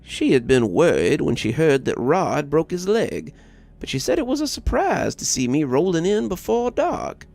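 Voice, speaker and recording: A man narrating a scripted first-person story as a horror host, with a measured, dramatic storyteller's delivery and a gravelly voice. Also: a little high, big pitch swings, darkish tone. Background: background music.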